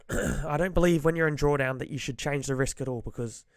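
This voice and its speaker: A man who is a bit sick and slightly hoarse, giving blunt, emphatic trading-psychology advice and clearing his throat often. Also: medium pitch, moderate pace, no echo, clean studio sound. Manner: direct, emphatic, repeats key points. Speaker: forex trader giving advice. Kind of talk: motivational trading-psychology talk to camera.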